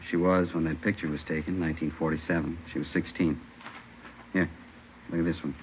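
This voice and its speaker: An actor playing a plain-spoken, grave police narcotics captain, brisk and businesslike, in a 1950s radio drama. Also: male voice, rumbling low, little pitch movement. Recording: muffled old radio recording, faint noise.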